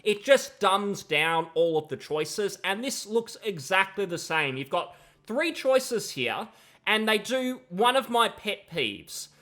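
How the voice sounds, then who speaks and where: A man's voice: high-pitched, bright, forceful tone. A young man giving critical commentary on a video game in a sardonic, emphatic manner. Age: young adult. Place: small room at home, indoors. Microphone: close desk microphone with headset.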